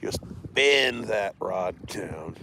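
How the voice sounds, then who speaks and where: A man's voice: on the low side, slight background noise. A man chatting casually on a fishing live stream in a rambling, self-deprecating way, sounding slightly congested from allergies. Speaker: fishing livestreamer. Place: outdoors beside a reservoir.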